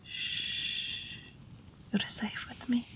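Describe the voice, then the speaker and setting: A woman playing a gentle, wry, protective night witch in an intimate audio roleplay, speaking softly and breathily, often near a whisper. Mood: tender, protective. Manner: slow, soft, tender reassurance.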